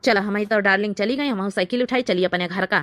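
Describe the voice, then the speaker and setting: A woman's voice: medium pitch, fast talker, a bit bright, lightly acted. A woman voicing a sneaky, short-tempered village husband in a comedy cartoon, with a brusque, rustic Awadhi accent. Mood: pleased.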